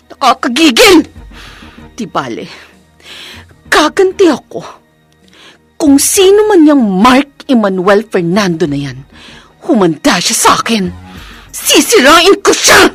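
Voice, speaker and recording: A woman's voice: high voice, fairly bright tone, dry recording, heavily acted. A woman acting a resentful, scheming office employee in a drama, speaking with a Filipino accent. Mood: seething, vengeful. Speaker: actor in a short drama. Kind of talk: acted office drama dialogue in Tagalog and English.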